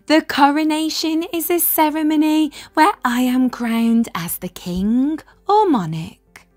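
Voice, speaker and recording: A woman's voice: high voice, heavily acted. A woman voicing a cheerful, eager storybook king who exclaims in a friendly, grand way.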